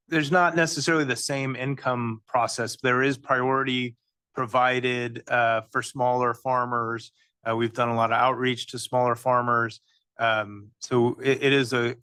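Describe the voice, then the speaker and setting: Man, halting delivery, flat pitch, dry sound, meeting presenter. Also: clear, resonant tone, no room sound. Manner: halting, many restarts and fillers. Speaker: agency staff presenter. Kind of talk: explaining at a public meeting.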